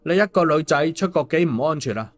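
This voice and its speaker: A man's voice: a little high, expressive, brisk, close to an everyday voice. A man voicing an easygoing, concerned male story hero in colloquial audiobook dialogue. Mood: questioning.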